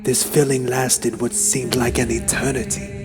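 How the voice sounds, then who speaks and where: A man giving a solemn, deliberate, suspenseful dramatic reading of a first-person horror story. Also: medium pitch, animated. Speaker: narrator. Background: background music.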